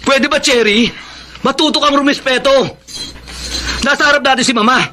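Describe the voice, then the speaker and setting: An actor playing a hot-headed, resentful young son and big brother in a Filipino radio drama. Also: male voice, very high voice, steady tone, moderate pace, sharp tone, slight background noise. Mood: irritated.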